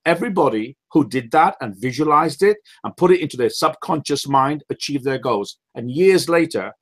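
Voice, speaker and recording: A man's voice: mid-range voice, expressive, normal speed. A man hosting a team call, giving a motivational speech with emphatic, rising build-ups and acted quotes of other people.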